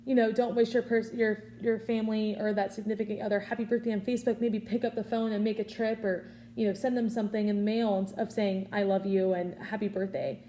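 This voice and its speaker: A woman's voice: mid-range voice, flat delivery, brisk, hardly any pauses, warm tone, slight background noise. A woman in her early twenties giving an informal prepared speech, offering earnest advice in a conversational way. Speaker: student public speaker.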